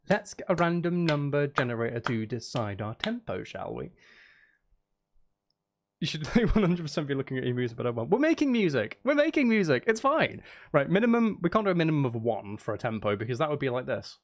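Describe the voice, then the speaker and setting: Playful, animated man with a higher-pitched voice. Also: a little fast, a little hiss or hum. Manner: playful, geeky, rambling as he thinks aloud. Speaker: live streamer making music. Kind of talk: casual live-stream chat.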